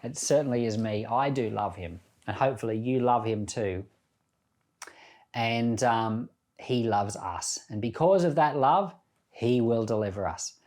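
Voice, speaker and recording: A man's voice: mid-range voice, unhurried, a little mellow. A man speaking as a pastor, giving informal Bible teaching in a reflective, conversational storytelling manner.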